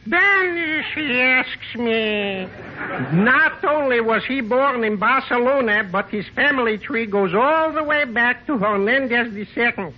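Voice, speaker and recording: A man's voice: very high voice, measured pace. A man playing an exasperated henpecked little husband in 1950s radio comedy, exclaiming and repeating himself. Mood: indignant. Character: excitable, exasperated henpecked little husband, comic bit character. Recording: muffled, noisy 1950s radio recording.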